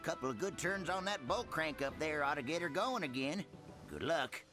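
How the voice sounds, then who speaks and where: A man's voice: fairly high pitch, lively intonation, moderate pace, over music, lightly acted. A man playing a timid, grumbling small-town mechanic in a video game, with a rural American accent and a folksy, rambling manner. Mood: sheepish. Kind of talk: video game cutscene acting.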